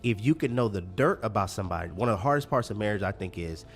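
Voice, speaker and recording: A man's voice: lowish voice, lively intonation, fast talker, soft background music. A man speaking as a pastor in a candid, plainspoken talk about life, repeating phrases and addressing listeners directly. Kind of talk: candid relationship talk with faith references.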